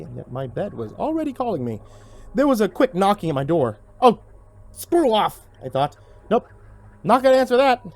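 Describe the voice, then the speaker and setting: A man giving a theatrical, expressive first-person narration of a horror story, acting out the dialogue. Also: a little high.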